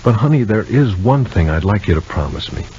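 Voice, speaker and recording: A man acting a caring, protective brother in a 1950s radio soap opera, gently persuading in a halting, folksy way. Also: on the low side, sing-song, slight background noise. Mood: concerned. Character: caring, protective brother giving homespun sporty advice. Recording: muffled 1950 radio drama recording.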